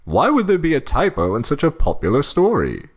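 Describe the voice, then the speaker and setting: A man's voice: fairly high pitch, huge pitch swings, a little fast. A man playing a pompous, fussy old husband reading a horror story aloud for comedy. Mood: peevish. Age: older.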